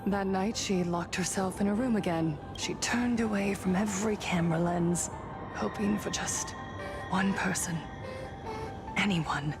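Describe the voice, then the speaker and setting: A woman playing a wounded young woman in a video game, deliberate and theatrical, close to madness. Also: mid-range voice, close to an everyday voice. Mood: bitter, teasing. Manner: deliberate, dramatic. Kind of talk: dramatic game storytelling. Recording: music clearly under the voice.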